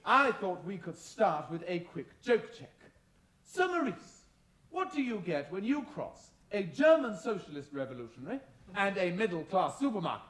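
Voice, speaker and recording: A man's voice: high voice, big pitch swings, normal speed, fairly bright tone. A man playing a flamboyant, campy pantomime dame in a stage comedy, in an echoey, noisy theatre recording. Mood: playful. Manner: campy, exaggerated.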